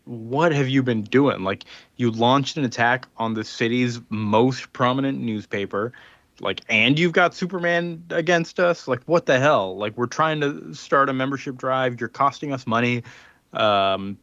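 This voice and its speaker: A man's voice: medium pitch, a little slow, fairly bright tone, no room sound, highs cut off. A man co-hosting a casual comic book podcast, recapping the story in a rambling way with lots of fillers. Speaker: podcast co-host.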